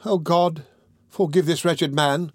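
A frightened and tender young boy , played by a male reader. His voice is pitched high and pleads earnestly. It is an audiobook drama with a close, dry sound. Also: lively intonation, measured pace, a little mellow, dry recording. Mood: tearful pleading. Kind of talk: dramatic audiobook character reading.

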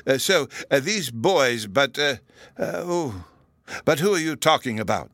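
Doddery storybook academic: high-pitched, hesitant, fussy, absent-minded, closely recorded dry sound. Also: male voice, expressive, a little slow, a bit bright, no room sound. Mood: dithering. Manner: stammering, muttering, chuckling hesitation. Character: absent-minded, dithering old male professor, peevish.

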